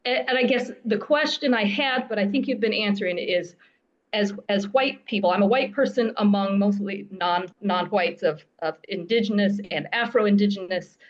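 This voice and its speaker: A woman from the United States asks a question from the audience in a halting, thoughtful and thankful way. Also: mid-range voice. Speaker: audience member asking a question. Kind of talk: casual question from the floor. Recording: dull lo-fi audio.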